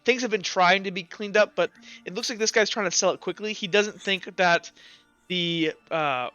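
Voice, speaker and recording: A man runs a tabletop game in casual, conversational narration. His voice is high and bright, recorded very dry, with faint music underneath. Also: moderate pace, muffled recording. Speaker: game master.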